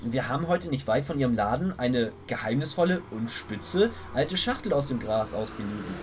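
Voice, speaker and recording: A man's voice: mid-range voice, sing-song, moderate pace, background noise, lightly acted. A man playing a self-important, impatient boy detective in a tongue-in-cheek German fan audio drama. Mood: polite, formal. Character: boy detective leader, precocious, bossy, easily exasperated.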